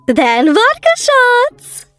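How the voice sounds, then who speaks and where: High-pitched pouty young lady boss, acted by a woman. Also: huge pitch swings, drawn-out words, fairly bright tone, no room sound, soft background music, exaggerated voice. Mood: drunk, demanding. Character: young female boss, tipsy, pouty, lovelorn ex-girlfriend. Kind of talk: manga dub character acting.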